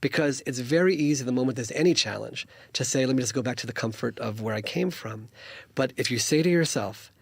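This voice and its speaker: A man's voice: medium pitch, fairly quick, creaky, no echo. A man in his fifties, originally from the United States, earnest and preachy as a studio interview guest, often restarting his sentences. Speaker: guest, politician.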